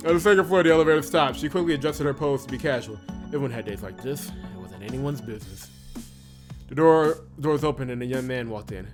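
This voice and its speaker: A man's voice: mid-range voice, animated, quick pace. A man hosting a visual novel playthrough, reading the narration aloud and reacting casually. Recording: loud game music behind voice. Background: game music.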